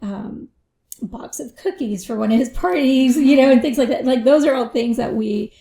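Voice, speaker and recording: A woman's voice: a little high, moderate pace, creaky, warm tone. A woman financial advisor answering interview questions in a reflective way, often adding "you know" and "right?".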